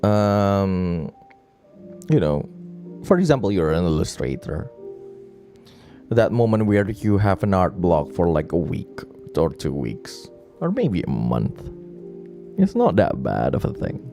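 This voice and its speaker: A man with a low, warm voice chats freely on a stream, his pitch swinging lively as he wanders from thought to thought. He restarts often and laughs easily. Faint music plays beneath him. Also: slow, creaky, pauses often. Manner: relaxed, rambling, frequent laughs and restarts. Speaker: virtual streamer. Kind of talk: casual late-night chat stream.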